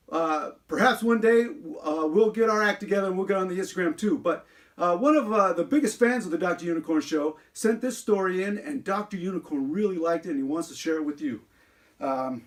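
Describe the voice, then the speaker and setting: Chatty unicorn story-time presenter, played by a man: high voice, earnest read-aloud delivery with halting asides. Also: lightly acted. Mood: chatty, playful. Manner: halting, filler-heavy asides, earnest reading. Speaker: show host.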